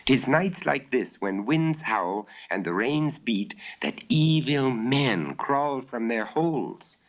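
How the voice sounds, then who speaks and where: A man's voice: medium pitch, sing-song, takes it slowly, dull audio, pitched above the performer's own voice. Man voicing an earnest, lilting young maidservant. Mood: earnest, ominous. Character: young country maidservant, earnest, in old English inn tale.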